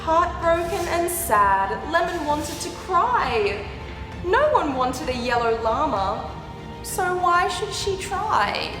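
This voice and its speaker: A woman reading a picture book aloud to young children, expressive, with animated, exaggerated reactions and asides. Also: extremely high pitch. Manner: animated, exaggerated reactions with gasps and drawn-out words. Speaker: children's storyteller. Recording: roomy echoing hall sound, background music. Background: music.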